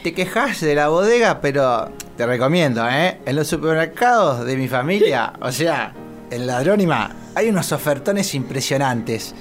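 A man's voice: on the high side, fairly bright tone. A man playing an obsequious, boastful cabinet chief in Argentine radio satire, polite and insistent, piling up claims. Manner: polite, insistent, list-making. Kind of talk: satirical radio drama acting.

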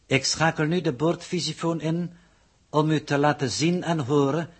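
An actor playing a pedantic, formal alien coordinator who reports in stilted, ponderous phrases in a 1960s radio drama. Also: male voice, fairly high pitch, steady tone, normal speed, husky. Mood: stiffly formal.

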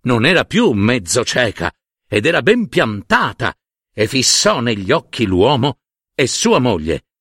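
A male storyteller narrates a fairy tale with a wide, swooping pitch range. He takes his time, speaking slowly with deliberate dramatic pauses. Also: wildly swooping pitch, fairly bright tone, husky, no echo, clean studio sound.

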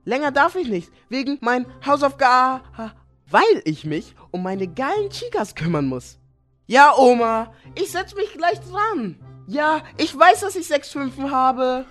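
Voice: high-pitched, sing-song, unhurried, a bit bright, faint music behind, full character voice. A performer voicing a boastful teenage gamer boy in a comedy audio play, bragging in spoken chat slang. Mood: annoyed. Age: teenager as played.

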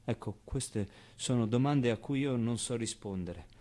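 An actor playing a naive, foul-mouthed, wistful orphan boy in an expressive theatrical monologue, with hesitant repetitions and pauses. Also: mid-range voice, lightly acted. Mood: subdued, wry. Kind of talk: theatrical monologue, storytelling to an audience.

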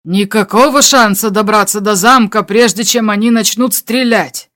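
A woman's voice: medium pitch, normal speed, a bit bright, close to an everyday voice. A woman voicing a concerned male crewmate who gives urgent, earnest advice over the radio in an audiobook. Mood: urgent advising. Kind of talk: audiobook character dialogue.

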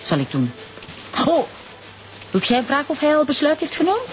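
A woman's voice: high-pitched, huge pitch swings, over music. Anxious shopkeeper mother. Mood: anxious, apologetic. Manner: halting broken grammar, apologetic. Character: anxious, deferential immigrant shopkeeper mother of a grown son. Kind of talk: radio drama acting. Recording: faint ambient noise.

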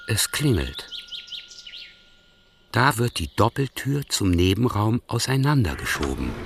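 A man narrating a radio play in a calm, matter-of-fact way. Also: on the low side. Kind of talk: scripted narration.

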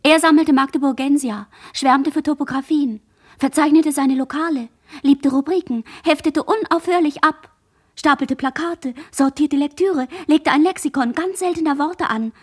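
A woman's voice: high voice, moderate pace, close to an everyday voice. A woman playing a dazed young girl who murmurs a fragmented, incantatory inner monologue in a literary radio play. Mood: fragmented, musing.

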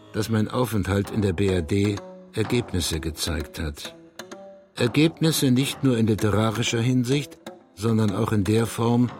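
A man's voice: lowish voice, a little mellow, lightly acted. An actor playing an obsessive, bitter and needy male writer in a radio drama, brooding and provocative in manner. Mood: formal, defiant. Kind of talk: radio drama acting and literary monologue.

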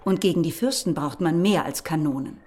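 An actress playing a shrewd, resolute woman rebel in a historical audio drama, speaking deliberately and with determination. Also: low voice, darkish tone, slight background noise, natural voice.

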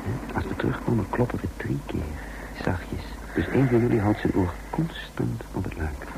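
A man's voice: fairly low pitch, moderate pace, creaky. A man playing the careful, commanding chief of a break-in team in a radio drama, terse and controlled. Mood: commanding, hushed. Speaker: narrator and lead character. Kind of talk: radio drama acting and narration. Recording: radio drama with faint background noise.

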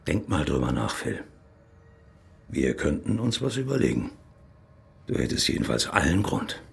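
An actor playing a sly, jovial criminal in a German radio crime drama, talking in an insinuating, persuasive way. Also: male voice, rumbling low, big pitch swings, normal speed, vocal fry, husky, full character voice. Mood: urging, persuasive. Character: sly, jovial criminal man goading his acquaintance toward murder.

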